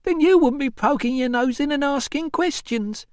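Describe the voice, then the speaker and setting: Man putting on a wailing, high-pitched distraught young mother. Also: moderate pace, darkish tone, no room sound, studio quality. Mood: tearful, bitter. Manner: wailing, shrieking, accusatory. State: tearful. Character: distraught young enslaved mother, shrill, bitter, weeping over her son.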